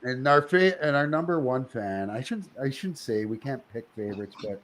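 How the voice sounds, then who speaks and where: A man's voice: mid-range voice, lively intonation, moderate pace, a bit bright. A man hosting a casual interview, playful and joking as he asks questions. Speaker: podcast host.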